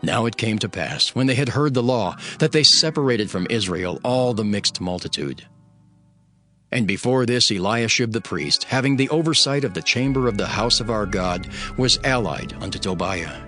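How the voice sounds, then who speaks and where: A male audiobook narrator with a fairly low voice reads scripture as a dramatized performance. His delivery is solemn and measured, like a formal declamation. Soft music plays behind him. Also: animated. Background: background music.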